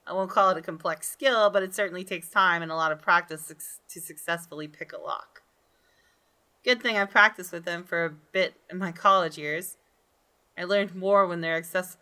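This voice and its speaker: A woman's voice: fairly low pitch, moderate pace, pushed and bright. A woman hosting a gaming video, reading visual novel text aloud and reacting expressively.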